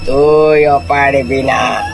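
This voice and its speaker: An actor playing a boastful, menacing fish-eating river ghost in a story cartoon, with drawn-out, taunting threats. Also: male voice, mid-range voice, little pitch movement, music in the background, rough recording. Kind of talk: Bengali animated horror storytelling.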